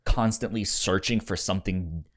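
A man's voice: low voice, moderate pace. A man co-hosting a podcast, chatting casually about books and often restarting and repeating his words as he goes. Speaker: podcast co-host. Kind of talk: casual book discussion.

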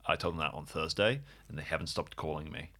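A man's voice: a bass voice, fast talker, pushed and bright, slightly rough. A man chatting casually as a podcast co-host, with a dry, joking manner. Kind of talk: casual chat.

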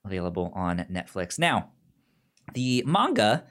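A man chatting casually as a podcast co-host, halting and informal, with a stutter that repeats sounds and syllables. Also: on the low side, very animated, fairly bright tone. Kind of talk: casual chat, pitching shows to a co-host.

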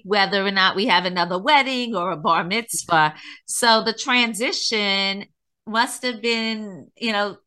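Older grandma host lady, bright voice, hyping up her guest. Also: lowish voice. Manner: enthusiastic, promoting the guest. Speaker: talk show host. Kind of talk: interview hosting.

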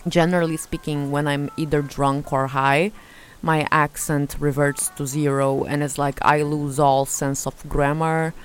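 A young woman from Brazil whose accent carries an unexpected Eastern European tinge. She talks low and unhurried, rambling through self-mocking anecdotes with frequent laughs. A faint music bed sits under her voice. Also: little pitch movement. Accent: Brazilian Portuguese with Eastern European traces. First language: Portuguese. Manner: self-mocking, laughs often, chaotic asides. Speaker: vlogger. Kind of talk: casual storytelling monologue.

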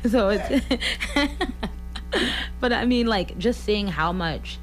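A woman's voice: mid-range voice, animated, slow pace. A woman in her twenties, a singer-songwriter from Missouri, talking earnestly in a radio interview and laughing often. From Columbia, Missouri. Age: 20s. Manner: earnest, laughs often, many likes and you-knows.

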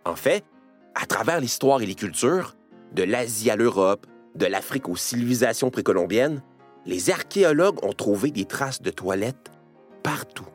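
Animated Quebecois man narrating. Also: medium pitch, moderate pace, faint music behind. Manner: playful, addresses the listener directly. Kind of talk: scripted educational narration for children.

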